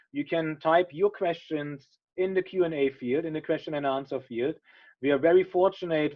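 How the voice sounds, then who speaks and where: Middle-aged German host on a video call, mid-pitched, dull lo-fi sound, filler-heavy and friendly. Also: male voice. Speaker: webinar host. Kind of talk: casual webinar hosting.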